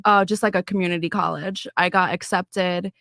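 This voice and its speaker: A woman's voice: fairly low pitch, steady tone, normal speed, gravelly, a bit bright, no room sound, clean studio sound. A woman in her thirties from Pennsylvania, a brash, laid-back podcast guest. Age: 30s. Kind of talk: casual interview answers.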